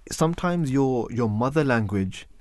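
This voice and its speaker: A man's voice: medium pitch, lively intonation, moderate pace, a little mellow, no room sound. A man whose mother tongue is Urdu hosts a casual radio discussion, hesitating and restarting often and ending points with tag questions. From parents from Pakistan. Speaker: radio show host.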